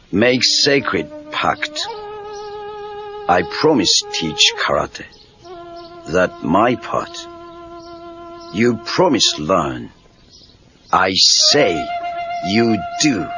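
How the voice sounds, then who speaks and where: Wise old Okinawan sensei, Japanese accent, very slow broken English, low swooping pitch, muffled cassette drama. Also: male voice, wildly swooping pitch, over music, exaggerated voice. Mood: firm, solemn. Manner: terse broken English, deliberate pauses. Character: wise old Okinawan karate master and maintenance man, calm. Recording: muffled lo-fi read-along cassette tape.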